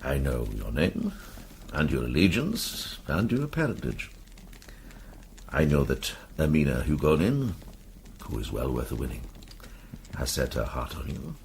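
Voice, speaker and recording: An actor playing a kindly, worldly old medieval monk in a radio drama, measured and gently probing. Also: male voice, very deep voice, huge pitch swings, vocal fry, slight background noise, full character voice. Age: older.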